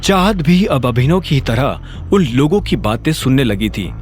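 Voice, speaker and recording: A man's voice: mid-range voice, big pitch swings, measured pace, soft background music. A man narrating a scripted story in a dramatic audio-series style.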